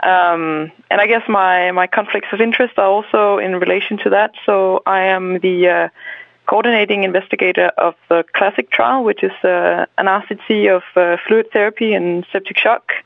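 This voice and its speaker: A woman's voice: medium pitch, steady tone, moderate pace, vocal fry, no room sound. A young woman doctor with a Danish accent, speaking hesitantly as a guest in a remote podcast interview. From Denmark. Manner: hesitant, many fillers. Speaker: doctor and PhD researcher guest. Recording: tinny phone-like line, dry.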